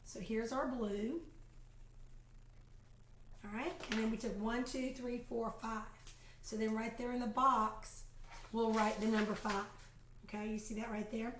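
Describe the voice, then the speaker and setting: Woman teacher, raspy from a lost voice, relaxed and talkative, counting things out slowly; echoey room. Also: a little high, a little hiss or hum. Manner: chatty, counts aloud slowly while demonstrating. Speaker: kindergarten teacher showing math activities. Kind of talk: casual live video demonstration. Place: home, a phone ringing in the house.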